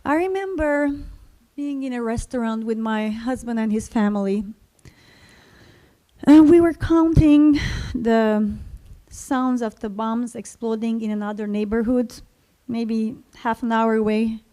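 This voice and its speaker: A woman from Syria, telling a personal story to an audience in a slow, reflective way. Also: on the high side, mellow. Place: library event stage.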